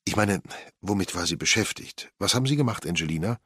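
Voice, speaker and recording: A man's voice: low-pitched, animated, fast, pushed and bright, slightly rough, no echo, clean studio sound, full character voice. A man voicing a firm, probing woman investigator who questions witnesses urgently in an audiobook.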